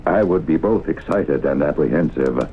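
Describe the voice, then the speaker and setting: An actor playing a cautious, skeptical middle-aged small-town mayor, gravelly and hesitant, in old-time radio drama style. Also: male voice, extremely low pitch. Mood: guarded, skeptical. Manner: hesitant, measured, formal. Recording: noisy, phone-like old radio broadcast.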